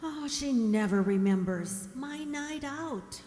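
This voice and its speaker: A woman in a radio drama playing a gentle, anxious young mute servant who voices her thoughts with breathy, wistful drama. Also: sits high, lively intonation, takes it slowly. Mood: worried. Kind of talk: radio drama narration and inner monologue. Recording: echoey hall with long reverb.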